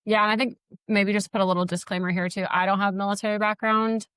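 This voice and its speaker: A woman's voice: medium pitch, little pitch movement, quick pace, fairly bright tone, clean tone, dry recording. A woman hosting a podcast, chatting casually as she puts questions to a guest. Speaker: podcast host. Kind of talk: casual interview chat.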